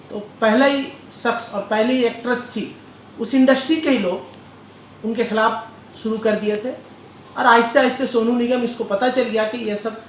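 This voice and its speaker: A man giving political interview answers in a deliberate, oratorical way, repeating phrases. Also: high voice. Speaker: political leader being interviewed. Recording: echoey room with faint background noise.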